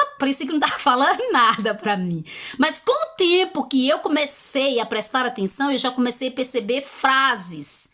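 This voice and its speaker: A woman's voice: high voice, sharp tone. A Brazilian woman vlogger giving casual motivational advice in an encouraging, conversational, emphatic way, with a Brazilian accent. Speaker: vlogger giving language-learning tips.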